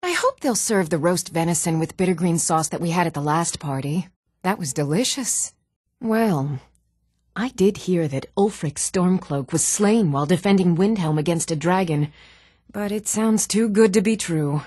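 A woman playing poised, articulate townswomen and noblewomen in a fantasy video game, with measured, formal delivery. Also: lowish voice, expressive, a little mellow, slightly rough, dry recording, studio quality. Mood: conversational, concerned. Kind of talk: scripted fantasy game dialogue.

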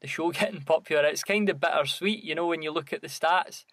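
A man's voice: a little high, fast talker, a bit bright. A man co-hosting a podcast in casual, conversational chat. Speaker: podcast co-host.

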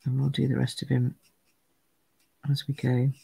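Chatty woman, croaky gravelly voice. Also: a bass voice, monotone, moderate pace, dark tone, no room sound, low-quality recording. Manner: chatty, thinks aloud, greets viewers by name. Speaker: card-making demonstrator. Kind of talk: live craft demonstration. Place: home craft room.

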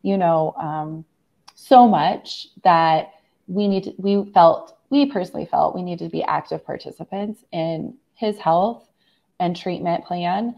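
A middle-aged American woman, hoarse from laryngitis, telling a personal story as a guest in a casual video-call interview. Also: on the low side, a little slow, dull audio. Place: room at home, on a video call.

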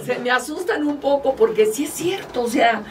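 A woman's voice: sits high, expressive, normal speed, far from the mic, background noise. A woman from Mexico, a guest politician, chatting casually and animatedly in an interview, often laughing as she tells stories. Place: small studio, indoors. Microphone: table mic, far from speaker.

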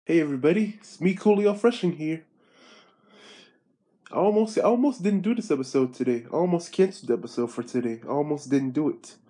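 A man's voice: on the high side, a little fast, dark tone, faint music behind. A young man hosting his show while sick, with a sore throat and stuffy nose, stammering and repeating himself, recorded on a phone at arm's length in a small bedroom. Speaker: host. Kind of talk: casual vlog outro.

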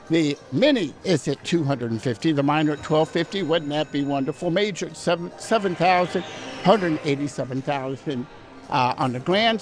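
Older man, hoarse and raspy, fairly high voice, upbeat casino chatter, slot machine music behind. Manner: chatty, upbeat, talks to viewers while playing. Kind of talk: casual casino commentary. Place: busy casino floor. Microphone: handheld microphone in front of him. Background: slot machine music and sounds.

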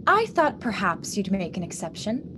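A woman's voice: medium pitch, big pitch swings, moderate pace, lightly acted. An actress playing a curious, well-bred young lady in a radio drama, polite and formal. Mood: polite, determined. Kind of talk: stage-style radio drama acting. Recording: noisy radio drama recording, constant hiss.

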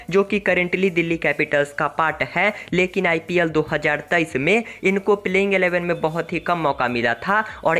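A man's voice: a little high, fairly bright tone. Man narrating scripted cricket news. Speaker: sports channel narrator.

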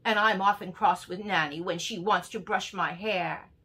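A woman's voice: medium pitch, steady tone, moderate pace, sharp tone, slightly rough. A woman in her sixties voicing a fond, earnest little boy in a storybook poem, in a singsong, confiding way.

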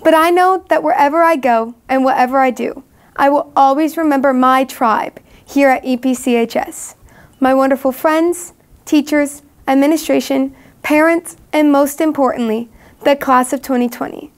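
A woman's voice: sits high, moderate pace. A teenage young woman from the United States giving an upbeat, heartfelt student commencement speech, recorded in a studio in front of a curtain. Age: teens. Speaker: student graduation speaker.

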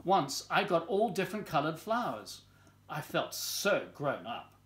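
An older man in his sixties reads a children's picture book aloud. His voice sits a little high for a man and moves up and down in a lively way. He tells the story expressively and deliberately, recorded at home in a living room. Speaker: volunteer story reader.

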